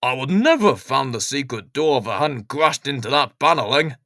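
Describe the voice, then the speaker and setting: A woman's voice: very deep voice, lively intonation, brisk, fairly bright tone, dry recording, clean studio sound, exaggerated voice. Woman doing a sheepish, apologetic village bloke. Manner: hesitant, sheepish. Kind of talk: children's storybook character voice.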